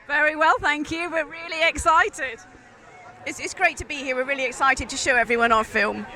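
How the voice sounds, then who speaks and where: A woman's voice: high-pitched, moderate pace, sharp tone. A woman, head of a film's puppet department, answering interview questions politely and upbeat.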